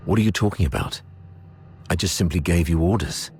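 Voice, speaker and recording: A grizzled old commander for a video game, with an exceptionally deep voice. He speaks in a measured, authoritative and fatherly way with dry wit. Faint ambient noise sits underneath. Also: lively intonation, darkish tone. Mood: firm, commanding. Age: older. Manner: measured, authoritative orders and speeches. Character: gruff old veteran military commander, fatherly and dryly wry. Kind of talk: video game cutscene acting.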